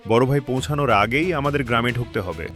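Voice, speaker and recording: A man's voice: medium pitch, animated, racing, fairly bright tone, close to an everyday voice. A man playing a sly, greedy, opportunistic younger son in a melodramatic cartoon dub. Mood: urgent. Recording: music behind the voice.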